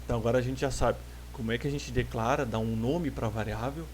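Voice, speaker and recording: A man's voice: medium pitch, fairly even intonation, fast, darkish tone, a little hiss or hum. A man with a Brazilian Portuguese accent teaching a programming class in a recorded lecture, explaining patiently and restarting phrases often.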